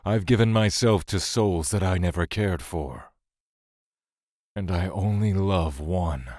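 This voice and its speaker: An actor playing a brooding, bitter male god in an audio drama, grieving and vengeful, speaking slowly with cold menace. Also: low voice, darkish tone, dry recording, clean studio sound. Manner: slow, deliberate, cold menace.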